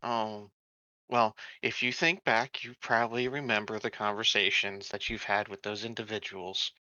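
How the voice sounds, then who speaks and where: A man's voice: on the low side, steady tone, moderate pace, pushed and bright, no room sound, muffled recording. A man talking casually as a player in a tabletop roleplaying game.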